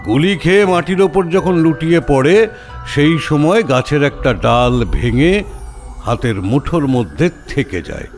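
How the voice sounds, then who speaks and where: A pompous, swooping police inspector. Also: male voice, expressive, soft background music. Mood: grudging, pondering. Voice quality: booming, blustery. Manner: haughty, theatrical, drawn-out questioning. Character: pompous, arrogant, portly police inspector, dismissive of amateurs. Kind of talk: audio drama acting.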